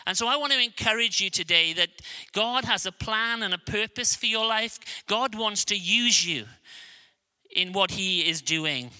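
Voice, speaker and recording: A middle-aged Welsh man preaching a sermon, earnest and emphatic, dropping low and slow on key lines. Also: bright, forceful tone, smooth. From Wales. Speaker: guest preacher.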